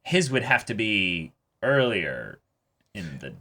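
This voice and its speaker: A man's voice: fairly low pitch, big pitch swings, slow, bright, forceful tone. A man co-hosting an anime reaction show, chatting casually with plenty of swearing and acting out imagined quotes.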